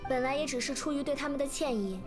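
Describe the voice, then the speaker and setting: High-voiced proud young stage star played by a woman. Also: fast, music in the background, lightly acted. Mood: guarded, reflective. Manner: haughty, expressive, dramatic. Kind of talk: Chinese video game voice acting.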